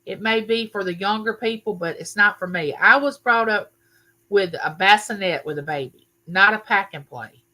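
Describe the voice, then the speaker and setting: Older woman, livestream host; loud, bright, sharp-edged voice, chatty and welcoming by name. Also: medium pitch, normal speed, poor audio. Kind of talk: casual live chat with viewers. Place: home room used for filming.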